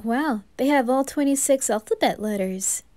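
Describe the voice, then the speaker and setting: A woman puts on an excitable little anime boy. The voice sits high and sounds eager and curious. He is innocent and wide-eyed, in the style of an anime fan roleplay. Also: normal speed, natural voice.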